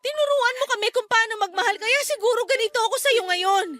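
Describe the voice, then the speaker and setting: A woman's voice: very high-pitched, fast, fairly bright tone. A woman playing a devoted eldest daughter, a young student, pleading tearfully and firmly in a radio drama. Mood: tearful, tender. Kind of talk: Tagalog radio drama acting.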